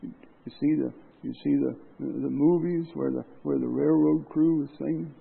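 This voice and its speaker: Raspy high-pitched preacher on tinny audio. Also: male voice, fairly even intonation, normal speed. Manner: impassioned, rising to loud emphasis then dropping low. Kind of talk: sermon. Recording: tinny phone-like lo-fi sound.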